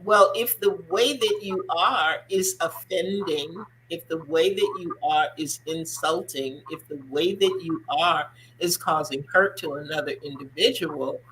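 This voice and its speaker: A woman's voice: low-pitched, a little slow, few pauses, a bit bright, a little hiss or hum, poor audio. A woman hosting a faith-based discussion show, speaking earnestly and repeating her points for emphasis. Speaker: talk show host.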